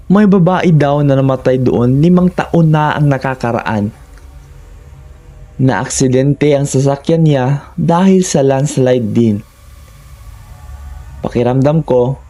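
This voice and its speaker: A man's voice: mid-range voice, warm tone. A Filipino man narrating a first-person horror story in a dramatic, suspenseful storytelling manner.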